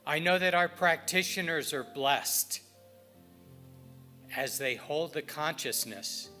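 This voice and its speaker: A man with a fairly high, bright voice leads readings and prayer at a spiritual service. He speaks very slowly and reverently, setting each short phrase apart with a pause. Faint music plays behind him. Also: animated. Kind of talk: inspirational readings and spoken prayer.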